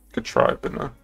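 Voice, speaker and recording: A man's voice: fairly bright tone, dull audio. A young British man chatting casually and laddishly on a gaming livestream. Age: young adult. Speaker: game streamer. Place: indoor room at a streaming desk.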